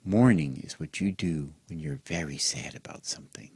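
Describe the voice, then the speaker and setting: A man hosting and telling a mock children's bedtime story in an exaggerated gentle, sing-song way, as if speaking to small children. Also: rumbling low, normal speed, slightly rough, no room sound. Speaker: host and storyteller.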